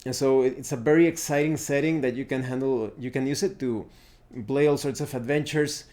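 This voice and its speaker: A man presenting an unscripted game review, explaining things deliberately and often pausing mid-sentence. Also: medium pitch, fairly even intonation, darkish tone. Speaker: tabletop RPG reviewer, presenter.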